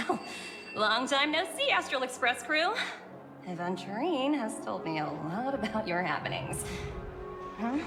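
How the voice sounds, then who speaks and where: A woman voicing a poised, brisk young corporate executive in a video game, composed and businesslike. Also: a little high, very animated. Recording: background music under the voice.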